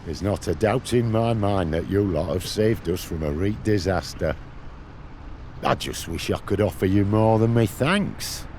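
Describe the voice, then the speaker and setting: A man's voice: on the low side, unhurried, darkish tone, slight background noise, natural voice. A man playing a hearty, proud but good-natured village headman in a video game, with a declamatory delivery. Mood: humbled, grateful.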